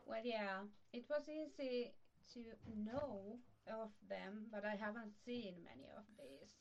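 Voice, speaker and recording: Unhurried Finnish-accented woman chatting. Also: a little high, highs cut off. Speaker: podcast guest. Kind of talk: casual chat.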